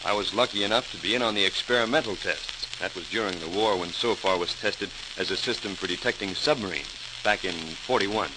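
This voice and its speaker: Man playing a low-voiced, earnest young Navy lieutenant. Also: fairly quick, lightly acted. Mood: cheerful, earnest. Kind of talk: 1940s radio acting. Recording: hissy, crackling 1940s radio recording.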